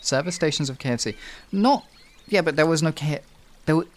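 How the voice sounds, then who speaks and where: A man's voice: on the high side, brisk. A man hosting a livestream, chatting casually and swearing freely. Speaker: livestream host.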